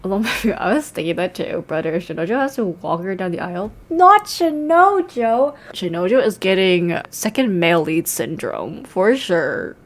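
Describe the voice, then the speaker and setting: A woman's voice: fairly low pitch, very animated, normal speed, hardly any pauses. A young woman giving casual, joking game commentary with slangy, playfully dramatic delivery. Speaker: game streamer.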